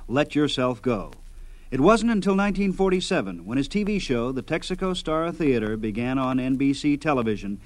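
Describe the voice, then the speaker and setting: A man's voice: mid-range voice, no room sound. A man hosting a radio program, reading scripted narration that introduces clips from old shows.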